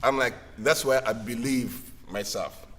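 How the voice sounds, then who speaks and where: A singer-songwriter man from Rwanda with a Rwandan accent and a deep voice, talking casually in a halting way and laughing often. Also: fairly bright tone. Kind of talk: casual livestream conversation. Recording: faint background noise.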